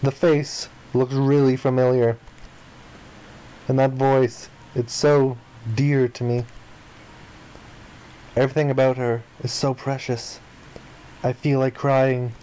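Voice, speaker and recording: A man's voice: mid-range voice, level tone, takes it slowly, long pauses, mellow, poor audio. A man reading a visual novel aloud as dramatic narration for a game playthrough.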